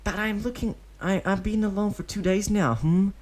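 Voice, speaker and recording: Man putting on a high-pitched pleading boy, twelve, eager and clingy. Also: steady tone, normal speed, close to an everyday voice. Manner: high pleading childish voice, tacks on "hmm?".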